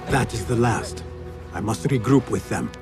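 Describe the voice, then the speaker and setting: An actor playing a young, earnest, determined male rebel agent in a video game, speaking in a measured, purposeful, formal way. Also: on the low side, over music, natural voice. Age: young adult. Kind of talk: video game cutscene acting.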